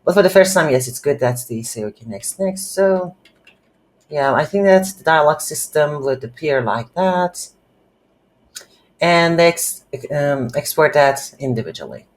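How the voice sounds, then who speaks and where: A woman hosting a casual game development tutorial, speaking haltingly as she thinks aloud while working. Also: low-pitched, lively intonation. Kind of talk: casual screen-recorded software tutorial.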